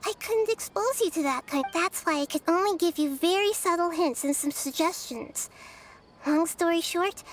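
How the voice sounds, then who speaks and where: A woman voicing a small, girlish young goddess who explains things gently and patiently in a video game. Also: very high voice, a little hiss or hum. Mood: gentle, explanatory. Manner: measured, explanatory. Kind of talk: video game character acting.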